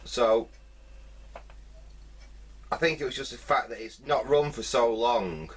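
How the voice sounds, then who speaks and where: A man's voice: medium pitch, takes it slowly, husky. A man giving a casual hands-on repair commentary, thinking aloud hesitantly in fragments. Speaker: host of a vintage electronics repair video.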